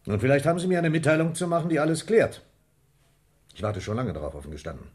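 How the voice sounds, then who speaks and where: An actor playing a gruff, sarcastic, domineering male police inspector in a German radio crime drama, speaking curtly. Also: a little high, wildly swooping pitch, quick pace, a little mellow. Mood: pressing, stern. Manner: curt, commanding, sardonic.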